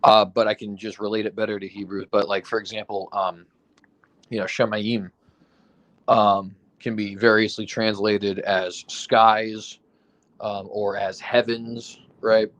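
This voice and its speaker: A man's voice: fairly low pitch, level tone, measured pace, a bit bright, poor audio. A man in casual discussion, getting over an illness, who explains with examples, hedges, and keeps asking "right?". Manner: explains with examples, hedging, frequent "right?" and fillers. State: recovering from illness, foggy.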